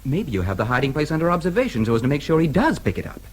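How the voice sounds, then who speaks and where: An actor playing a shrewd, easygoing American newsman in a radio drama, with a husky voice and a casual, probing manner. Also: on the high side, big pitch swings, very fast.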